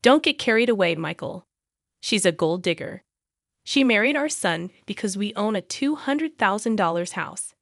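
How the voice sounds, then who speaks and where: A woman's voice: medium pitch, expressive, normal speed, dry recording, studio quality, close to an everyday voice. A woman voicing a scornful, suspicious mother-in-law in a narrated family drama, accusing and condescending.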